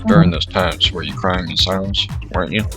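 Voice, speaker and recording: Brief: male streamer, deep gravelly voice, reads game text aloud, loud game music behind. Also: fairly even intonation, brisk. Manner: reads the game text, with short asides of his own. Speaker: gameplay streamer reading the visual novel aloud. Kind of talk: reading game dialogue aloud over gameplay. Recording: game music playing loudly underneath.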